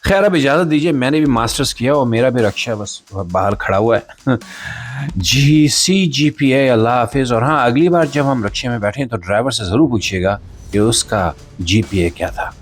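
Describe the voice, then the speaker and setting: A man's voice: mid-range voice, moderate pace, slightly rough, soft background music. A man with a Pakistani accent hosting a satirical news show, speaking to the audience in a sarcastic, theatrical monologue.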